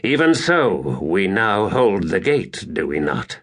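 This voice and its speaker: A narrator as a grand, slow-spoken warrior commander. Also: male voice, deep voice, sing-song, fairly bright tone, heavily acted. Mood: solemn, persuasive. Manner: grand, formal, declamatory. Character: victorious, silver-tongued tall grim male warrior commander. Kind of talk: dramatic audiobook character reading.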